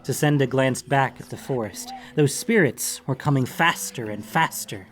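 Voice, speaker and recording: A man's voice: mid-range voice, moderate pace. Male fantasy audiobook narrator over music. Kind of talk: scripted dramatic fantasy narration. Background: background music.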